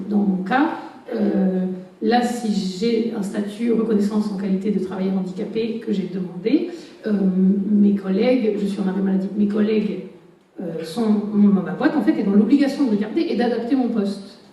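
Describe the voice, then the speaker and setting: A woman speaking as a conference panelist, emphatic and animated, often laughing as she makes her points. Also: medium pitch. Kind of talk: panel discussion talk. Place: conference hall. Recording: echoey hall, voice from far off.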